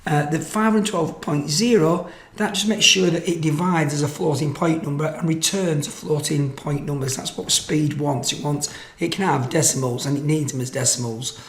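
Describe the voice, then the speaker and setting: Higher-voiced man in an echoey room. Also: moderate pace, slight background noise. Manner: casual, explanatory. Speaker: presenter of electronics tutorial videos. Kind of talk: informal tutorial narration of code and demo. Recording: roomy, echoey microphone sound.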